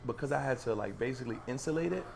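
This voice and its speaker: A man's voice: mid-range voice, lively intonation, rapid-fire, vocal fry, a little mellow. A man in his late twenties from Florida, hosting a podcast and telling stories in a loose, rambling way with lots of fillers. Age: 20s. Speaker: podcast host. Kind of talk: casual podcast chat.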